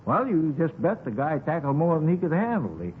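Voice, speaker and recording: A man's voice: a little high, sing-song, brisk, phone-quality sound. A man playing a folksy, talkative eyewitness in a 1950s radio drama, rambling along in colloquial phrases. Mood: excited. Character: folksy, talkative male eyewitness to a street shooting. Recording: scratchy, thin 1950s radio recording.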